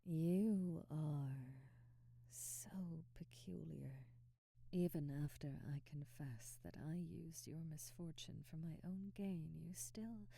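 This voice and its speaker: A woman playing an elegant, domineering vampire noblewoman in an intimate ASMR roleplay, speaking softly and breathily in formal, measured phrases. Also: deep voice, no echo, exaggerated voice. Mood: pensive. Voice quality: soft, breathy, whispery. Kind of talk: intimate ASMR roleplay, slow whispery delivery.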